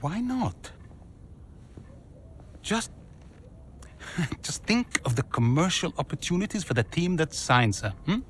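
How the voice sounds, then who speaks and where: An eager, pleading team staffer. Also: male voice, fairly high pitch, sing-song, slow, pauses often, a little hiss or hum.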